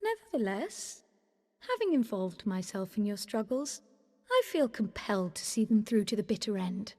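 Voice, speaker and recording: An actress playing a polite, loyal, determined scholar in a fantasy game, with deliberate speech. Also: female voice, medium pitch, big pitch swings, darkish tone. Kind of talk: formal fantasy game dialogue. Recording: long hall reverb.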